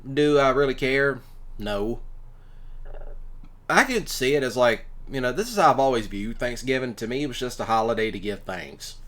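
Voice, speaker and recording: A man's voice: medium pitch, lively intonation, moderate pace, fairly bright tone. A man, rambling casually through personal stories as a commentator, in a folksy, blunt, colloquial way. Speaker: ASMR roleplay creator and commentator.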